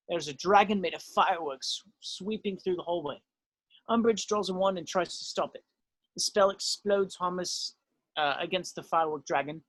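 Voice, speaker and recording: A man reads scene headings and stage directions as narrator of an amateur table read. His voice is a little high, and the sound is dry with no room echo. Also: a bit bright.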